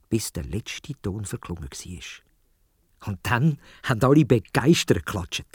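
A man's voice: on the low side, darkish tone, slightly rough, no room sound, clean studio sound. A man with a Swiss German accent telling a story for children in a dramatic way, addressing his listeners directly.

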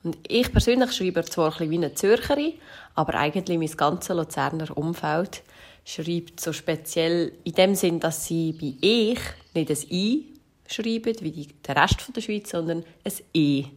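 Low-voiced Lucerne Swiss German woman. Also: moderate pace. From Lucerne, Switzerland. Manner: explanatory, careful, spells out letters. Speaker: contributor. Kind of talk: recorded personal statement.

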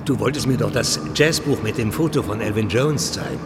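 An actor playing a lively male colleague chatting with a friend in a radio drama. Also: lowish voice, brisk, long reverb tail, over music, close to an everyday voice. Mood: animated, friendly.

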